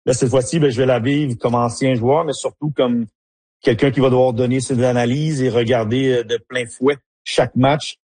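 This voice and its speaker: A man's voice: mid-range voice, steady tone, normal speed, a little mellow, husky, no room sound. A man, a former player turned soccer analyst, answering interview questions with frequent fillers and restarts. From Haiti. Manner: hesitant, many fillers and restarts.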